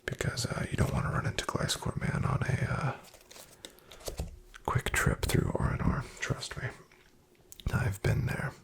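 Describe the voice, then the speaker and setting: A man playing a shady, wryly menacing back-alley casino dealer in a soft-spoken, breathy ASMR roleplay, with an unhurried drawl. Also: close to an everyday voice. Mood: wry warning. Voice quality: whispery, breathy, gravelly. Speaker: card game dealer in a roleplay.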